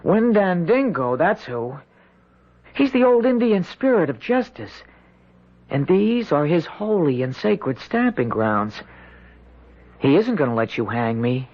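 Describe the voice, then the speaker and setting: An actor playing a naive young American country lad in a radio drama, earnest and folksy, often stammering. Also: male voice, a little high, big pitch swings, measured pace, heavily acted. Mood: solemn, confident. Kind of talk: old-time radio drama acting. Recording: noisy, muffled vintage radio recording.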